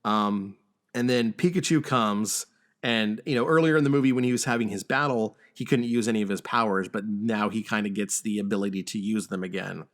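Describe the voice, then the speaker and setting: A man hosting a podcast, talking casually through a film's plot with a halting, stammering delivery. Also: fairly low pitch. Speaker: podcast host. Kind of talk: casual film discussion and plot recap.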